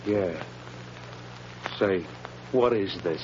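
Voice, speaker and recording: An actor playing a guilty, blustering gangster who rattles easily, speaking slangy tough talk in a 1930s radio drama. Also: male voice, deep voice, extremely slow, a little mellow. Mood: wary, tough. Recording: muffled, very noisy old radio recording.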